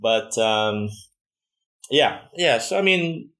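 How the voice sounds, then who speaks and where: A man's voice: mid-range voice, expressive, takes it slowly, sharp tone. A man from Russia with a slight Russian accent, speaking as a guest in a casual conversation, thoughtful and hesitant with many fillers and restarts.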